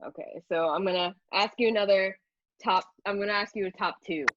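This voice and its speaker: A woman's voice: medium pitch, fairly quick, fairly bright tone, no room sound, highs cut off. A woman interviewer chatting casually, with a playful, giggly manner.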